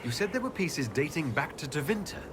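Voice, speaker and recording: An actor playing a composed, authoritative male elven leader in a video game, speaking in brief, brisk questions and decisions. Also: fairly high pitch, very animated. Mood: composed, inquiring. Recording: game audio over noisy outdoor ambience.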